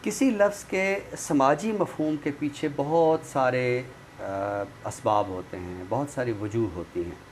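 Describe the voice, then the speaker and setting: A man's voice: mid-range voice, measured pace, hardly any pauses. Man explaining over background noise. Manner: didactic, checks understanding with tag phrases. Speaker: literary expert guest. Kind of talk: explanatory conversational discussion. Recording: clearly noisy room recording.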